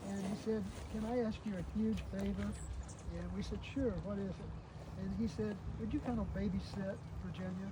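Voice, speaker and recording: A man's voice: high-pitched, steady tone, moderate pace. A man hosts an informal outdoor memorial gathering, speaking plainly to those present. The recording has very heavy noise, with no proper microphone. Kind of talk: informal spoken tribute and hosting. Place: outdoors in an iris garden. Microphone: distant camera microphone, no working PA.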